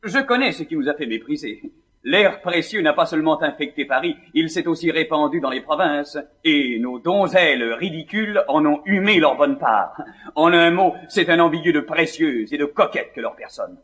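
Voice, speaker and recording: An actor playing a vain, scornful young gentleman in a classical French stage comedy, declaiming with indignation. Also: on the high side, expressive, moderate pace. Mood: vengeful.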